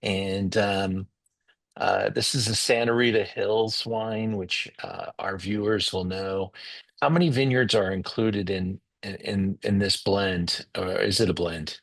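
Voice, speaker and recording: A man hosting a wine interview over a video call, enthusiastic and often pausing on fillers. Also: fairly low pitch, steady tone, creaky, a bit bright, no echo. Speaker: wine show host.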